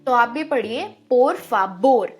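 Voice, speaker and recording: A woman from India teaching Spanish in an online lesson. She repeats each word slowly and draws out the sounds. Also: fairly high pitch, expressive, faint music behind. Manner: slow, drawn-out pronunciation drills, repeating words.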